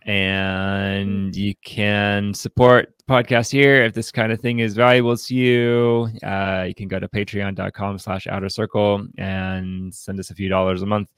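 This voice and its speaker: A man's voice: on the low side, little pitch movement, measured pace, hardly any pauses, fairly bright tone, clear, resonant tone, no room sound. A man hosting a podcast, chatting casually in a playful, jokey way. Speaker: podcast host.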